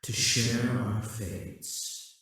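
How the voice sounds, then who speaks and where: A man voicing a possessive, scheming male demon king who speaks in a slow, mocking, gloating way. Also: medium pitch, little pitch movement, bright, forceful tone, very echoey, far from the mic. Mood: taunting. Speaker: audio roleplay performer. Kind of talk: scripted audio roleplay.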